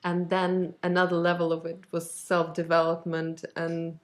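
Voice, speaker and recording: A woman's voice: on the low side, completely flat delivery, moderate pace. A woman hosting a podcast, introducing her guest enthusiastically and asking questions in a casual way with frequent fillers. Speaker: podcast host. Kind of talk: casual interview.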